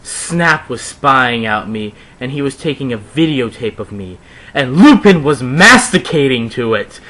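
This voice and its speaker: Animated man reading a story, bright voice. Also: mid-range voice, a little slow, poor audio. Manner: wry, theatrical, with mocking asides. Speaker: narrator reading fan fiction aloud. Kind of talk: dramatic comedic reading of a story.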